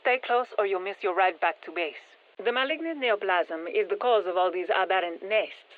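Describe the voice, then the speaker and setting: An actress playing a brisk, focused female military scientist giving clipped mission instructions over a radio in a video game. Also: mid-range voice, no echo. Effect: radio filter. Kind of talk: scripted game mission briefing. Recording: tinny band-limited radio transmission.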